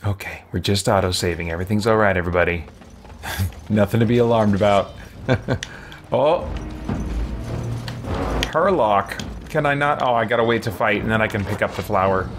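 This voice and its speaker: A man's voice: on the low side, moderate pace, pauses often, slight background noise. A man, giving casual, rambling live gameplay commentary indoors at home. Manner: chatty, rambling, animated fan talk. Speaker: game streamer. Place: small room at home, indoors. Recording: faint game sound behind voice. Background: video game ambience.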